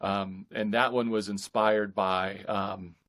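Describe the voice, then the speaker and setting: Male author guest, lower register, conversational interview answers, halting and reflective delivery. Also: little pitch movement, a bit bright.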